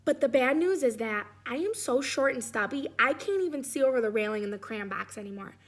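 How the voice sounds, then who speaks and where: A woman's voice: high-pitched, lively intonation, fairly quick. Woman reading as a weary, stubby little crayon. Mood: weary, pleading. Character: worn-out short stubby Blue Crayon, overworked favorite.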